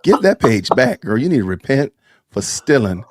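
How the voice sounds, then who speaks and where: A man hosting a casual livestream chat, excitable and loud in his reactions. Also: medium pitch, moderate pace, background noise. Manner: excitable, exclaims loudly. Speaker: livestream host.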